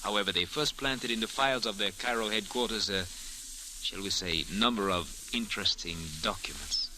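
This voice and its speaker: An actor playing a suave, smug foreign spy with deliberate, menacing politeness in an old-time radio drama. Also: male voice, on the low side, sharp tone. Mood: smooth, smug. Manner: suave, deliberate, with amused chuckles. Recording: noisy, hissy old radio recording.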